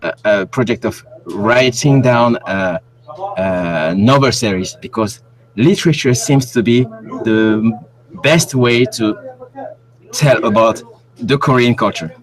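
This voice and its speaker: A man's voice: mid-range voice, slow, rough recording. A man from Cameroon, a guest researcher speaking on a remote call, who often stops to search for words. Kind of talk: interview on a call.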